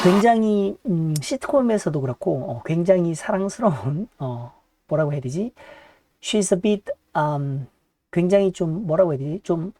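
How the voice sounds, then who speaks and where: Low-voiced Korean woman, halting. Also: dark tone, no room sound. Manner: halting, searching for English words, switching into Korean. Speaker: reaction video host. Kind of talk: casual reaction commentary.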